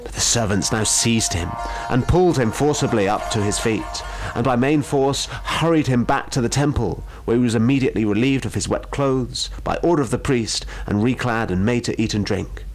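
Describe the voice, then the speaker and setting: A man's voice: medium pitch, moderate pace, few pauses. A man reading a ghost story aloud in a dramatic storytelling style.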